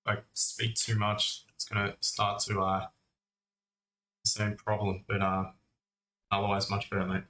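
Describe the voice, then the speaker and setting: Bright-voiced man livestreaming in a roomy space. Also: lowish voice, completely flat delivery, a little slow, long pauses. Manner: conversational, addressing viewers as mate and guys. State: recovering from cough and lost voice. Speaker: host of a live trading stream. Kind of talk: casual livestream market commentary. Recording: roomy echo, faint music.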